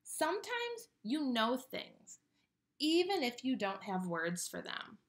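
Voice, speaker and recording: A woman reading a children's picture book aloud with expressive, dramatic storytelling delivery. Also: a little high, slow.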